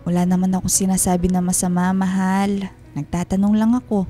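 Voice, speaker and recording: A woman's voice: medium pitch, flat delivery, a little fast, warm tone, natural voice. Woman acting loving, homesick Filipina wife, music behind. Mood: guarded, probing. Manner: loving, probing, wistful. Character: affectionate, homesick wife abroad on a call, quietly suspicious. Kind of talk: Filipino radio drama acting.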